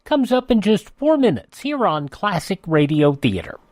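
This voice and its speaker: A man's voice: sits high, big pitch swings, moderate pace, darkish tone, no room sound, clean studio sound. An older man hosting a radio show, announcing and reading ads in a folksy, rambling, conversational way.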